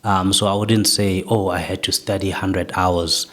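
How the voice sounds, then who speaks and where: Man, from South Africa, soft low voice, reflective, asks "right?" a lot. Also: steady tone, measured pace. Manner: reflective, often ends points with "right?". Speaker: guest. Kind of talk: personal life story interview.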